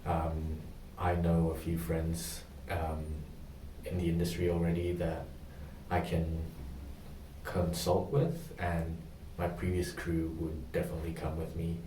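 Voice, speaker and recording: A young man from Indonesia answering questions in a practice job interview, pausing often with ums. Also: deep voice, completely flat delivery, dark tone, roomy sound, distant mic, slight background noise, rough recording. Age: young adult. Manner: hesitant, many pauses and ums.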